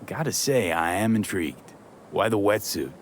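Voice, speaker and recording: An actor playing a laid-back, wry young American mercenary in a video game, dry and casual. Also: male voice, lowish voice, animated, normal speed, slight background noise. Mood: playful. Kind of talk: video game dialogue acting.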